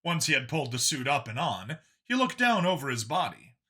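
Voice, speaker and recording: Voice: mid-range voice, big pitch swings, brisk, bright, forceful tone, breathy edge. A narrator reading a scripted science fiction story as an audiobook.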